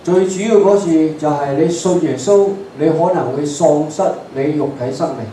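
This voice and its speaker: A man from Hong Kong with a Hong Kong Cantonese accent, preaching a bilingual sermon in short emphatic phrases and pausing for his interpreter. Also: a little high, warm tone. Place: church hall. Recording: very echoey hall, distant mic, faint hiss.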